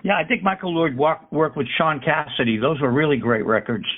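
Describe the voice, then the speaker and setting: Radio host on a phone-like line. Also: male voice, a little high, lively intonation, a little fast, a little hiss or hum. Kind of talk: interviewing a guest.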